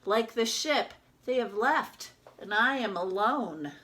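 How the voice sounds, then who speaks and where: A woman reading a children's picture book as a small, timid yellow toy duck, with expressive, dramatic narration. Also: fairly high pitch, slow pace, a little hiss or hum, full character voice. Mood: wistful storytelling.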